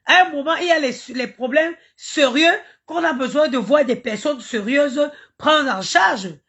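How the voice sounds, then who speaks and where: A woman's voice: sits high, a little slow, a bit bright, dull audio. A woman from Cameroon, ranting indignantly on a live stream, stammering and chanting invocations. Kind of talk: impassioned live-stream rant.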